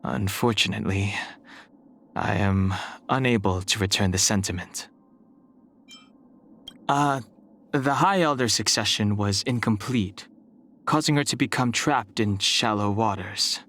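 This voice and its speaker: A man voicing a stoic, reserved young dragon-descended warrior in a video game, calm, formal and solemn, burdened by his past. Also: lowish voice, a little slow, long pauses. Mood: measured, solemn. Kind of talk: video game character voice lines.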